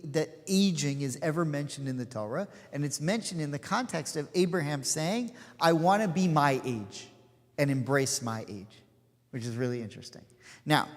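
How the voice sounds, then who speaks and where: A man in his forties leading an informal study class, chatty and digressive, with plenty of asides and fillers. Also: a little high. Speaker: rabbi teaching a class. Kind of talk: informal study-session teaching. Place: synagogue hall. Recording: hall with long reverb.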